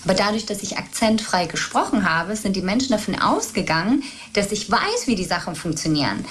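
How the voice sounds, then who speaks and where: An earnest woman from Spain, a coach, speaking at a middling pitch in long reflective stretches. A faint hiss and the odd click sit under her voice. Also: very animated, brisk. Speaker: guest, trauma and mindset coach. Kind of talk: podcast interview, personal storytelling.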